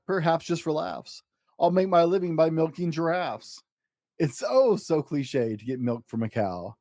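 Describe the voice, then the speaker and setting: A man's voice: a little high, dry recording, studio quality. A man voicing an exuberant, boastful eight-year-old schoolboy in a theatrical, rhyming picture-book story. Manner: excitable, grandiose, theatrical.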